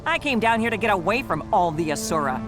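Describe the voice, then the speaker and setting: A woman's voice: medium pitch, big pitch swings, quick pace, music in the background, close to an everyday voice. A woman voicing a crotchety older female goblin-like engineer in a fantasy game, brash and snappish. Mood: gruff, dismissive. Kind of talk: video game cutscene acting.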